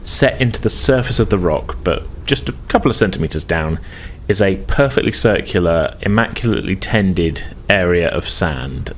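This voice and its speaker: A man with a low voice acts as game master, describing scenes off the cuff. His phrasing is hesitant and conversational, and faint noise sits behind the recording. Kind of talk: improvised tabletop game narration.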